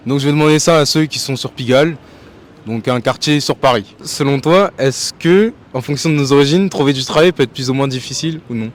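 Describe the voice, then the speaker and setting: A man's voice: medium pitch, brisk. A man hosting a street interview outdoors in Paris, asking passersby casual, probing questions with informal follow-ups.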